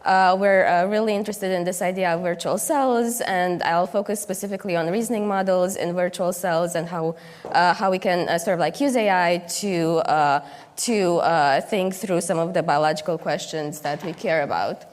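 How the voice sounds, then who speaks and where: A woman's voice: on the low side, normal speed. A young woman from Romania giving a conference keynote, speaking hesitantly with many fillers and restarts. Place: conference hall stage. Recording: long reverb of a conference hall.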